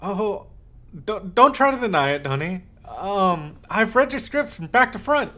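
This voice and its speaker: A man playing an obsessive, possessive, lovesick yandere in a scripted ASMR roleplay, speaking haltingly with cooed endearments. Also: high-pitched, sing-song, exaggerated voice. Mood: eager, insistent. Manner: halting, cooing endearments, swings from hushed to insistent.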